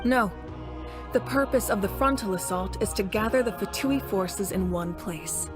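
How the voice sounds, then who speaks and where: Video game commander, played by a woman: calm, authoritative female leader, measured delivery, music underneath. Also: mid-range voice, close to an everyday voice. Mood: composed, resolute. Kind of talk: scripted video game voice acting.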